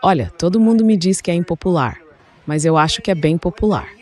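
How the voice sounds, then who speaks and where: Guy with a bit higher voice, translated political remarks. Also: expressive, fairly quick, darkish tone.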